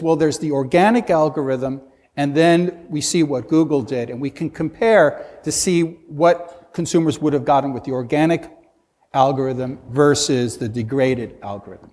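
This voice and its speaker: A man's voice: a little high, normal speed, darkish tone. A man on a conference panel, his slightly raised voice carrying through a reverberant hall. He presses his point with one rhetorical question after another, often restarting mid-sentence. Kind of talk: panel discussion.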